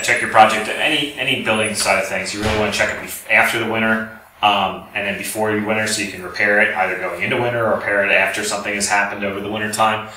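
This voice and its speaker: A man's voice: on the low side, sharp tone. A man giving an informal, explanatory technical presentation to an audience, recorded from a distance in a room. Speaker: lecturer, company representative. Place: indoor lecture room with audience. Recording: roomy, distant mic, faint background noise.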